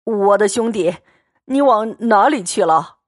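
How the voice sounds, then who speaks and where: A woman voicing a drunk, boisterous young nobleman who fawns loudly and slurs. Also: mid-range voice, lively intonation, measured pace, dry recording, clean studio sound. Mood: drunk, fawning. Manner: loud, fawning and slurring.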